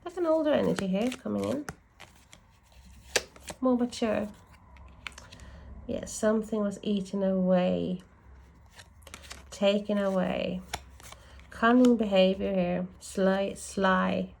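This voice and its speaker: A woman's voice: medium pitch, takes it slowly, vocal fry, long pauses, a little mellow, a little hiss or hum. A woman giving a casual tarot reading, sounding bunged up from a cold and musing aloud with frequent self-corrections. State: congested from a lingering cold. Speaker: tarot reader.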